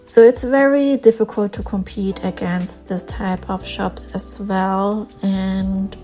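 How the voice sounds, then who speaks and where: German-accented woman, soft mellow voice. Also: medium pitch, steady tone, slow, faint music behind. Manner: earnest, plain-spoken, with small word searches and repeats. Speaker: artist vlogger. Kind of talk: casual talk over a drawing session.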